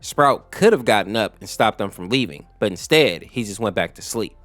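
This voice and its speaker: A man's voice: fairly low pitch, expressive, moderate pace, a bit bright, dry recording, soft background music. A man narrating a story recap in a conversational, explanatory way, speaking directly to viewers. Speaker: YouTube lore explainer host.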